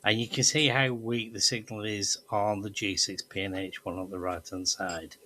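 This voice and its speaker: A man's voice: fairly low pitch, flat delivery, moderate pace, bright, forceful tone, slight background noise. A man presenting an amateur radio tutorial, explaining methodically step by step over a demonstration.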